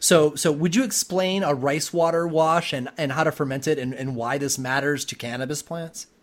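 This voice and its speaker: A man's voice: on the high side, steady tone, moderate pace. A man hosting a conversational interview, talking in a wordy way with stammered restarts. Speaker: podcast host.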